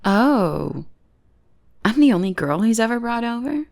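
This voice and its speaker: A woman's voice: fairly high pitch, big pitch swings, a little slow, darkish tone, full character voice. A young woman playing a teasing, possessive goth bully who is secretly smitten, in an ASMR roleplay. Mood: sweetly polite. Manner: playful, taunting, drops into breathy whispers.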